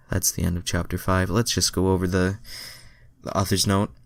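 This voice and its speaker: Male amateur reader, low pitch, dry close sound, casual and self-mocking with some swearing. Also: little pitch movement, normal speed, vocal fry, no room sound. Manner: informal, self-deprecating, sweary. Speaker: amateur reader of fan fiction, host. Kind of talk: reading fan fiction aloud with wry asides.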